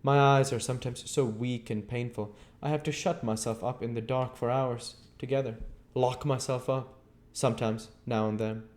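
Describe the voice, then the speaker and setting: Storybook role: imperious, secretive male investigator played by a man, lifted pitch, formal and emphatic delivery. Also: fairly even intonation, darkish tone, close to an everyday voice. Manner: formal, emphatic, deliberate phrasing. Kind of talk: storybook character voice in an audiobook reading.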